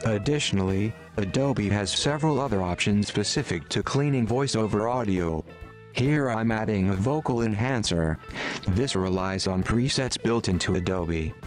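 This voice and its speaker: A man reading scripted instructional narration for a tutorial in a measured, step-by-step way. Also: on the low side. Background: background music.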